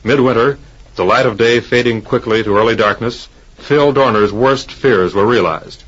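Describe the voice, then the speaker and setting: A man's voice: medium pitch, normal speed, a bit bright, breathy edge. A man narrating an old adventure serial in a dramatic, scripted 1940s radio announcer style. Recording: dull old radio recording.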